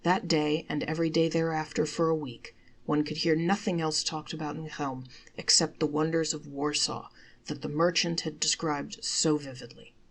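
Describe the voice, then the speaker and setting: A woman reading a folktale aloud as expressive storytelling narration, measured and drawing out key words. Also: deep voice.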